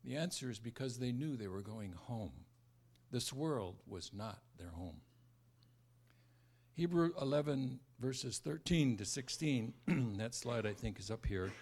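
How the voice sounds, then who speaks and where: Male preacher, 70s, American accent. Halting sermon delivery with restarts, mid-range voice, moving between scripture and personal stories. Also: mid-range voice, animated, a little mellow. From born in America, raised largely in Southeast Asia. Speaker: preacher, former missionary.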